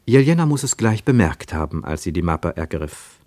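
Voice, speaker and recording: A man reading scripted literary narration for a radio play in a measured, precise and expressive storytelling manner. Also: deep voice, dry recording.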